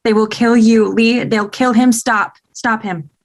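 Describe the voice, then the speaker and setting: A woman's voice: on the high side, steady tone, a little slow. A woman reading a commanding politician mother. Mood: pleading. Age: 50s. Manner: sharp clipped orders turning to pleading. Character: fifties congresswoman mother, commanding and frantic. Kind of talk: screenplay table read.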